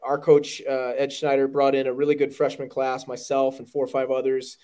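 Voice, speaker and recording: Emphatic man with a loud voice. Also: mid-range voice, completely flat delivery, fairly quick. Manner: earnest, emphatic, with frequent restarts. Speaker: podcast guest, running team director. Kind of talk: conversational interview.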